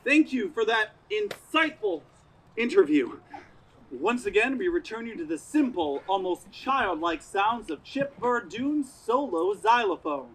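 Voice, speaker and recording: A man's voice: high voice, sing-song, unhurried, a bit bright, a little hiss or hum, full character voice, poor audio. A man playing a flustered, formal old-time radio announcer who stumbles over lines in a stage comedy, with music behind. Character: flustered 1930s radio announcer presenting broadcast interruptions.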